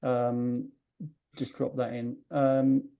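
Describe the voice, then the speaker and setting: A man hosting a podcast, chatting in a friendly, rambling way with quick asides. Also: mid-range voice, monotone, gravelly, dull audio. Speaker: podcast host. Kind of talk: casual chat. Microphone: video call.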